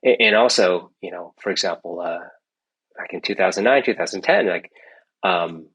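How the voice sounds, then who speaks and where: A gravelly, somewhat husky man in middle age talks through a casual interview. His voice sits on the low side, and he rambles along, restarting phrases and tagging on "right?" as he goes. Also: normal speed, a bit bright, rough recording. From United States. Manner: rambling with many restarts and tag questions. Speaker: entrepreneur guest.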